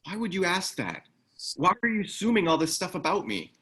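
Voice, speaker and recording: A man's voice: high-pitched, big pitch swings, a little fast, a bit bright, slightly rough, full character voice. A man playing a terse, guarded ex-military operative in a screenplay table read.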